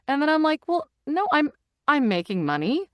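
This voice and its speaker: A middle-aged woman, a voice actor and audiobook narrator, chatting in an interview with lively enthusiasm and many restarts. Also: sits high, normal speed, no echo. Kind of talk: casual interview chat. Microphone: studio microphone.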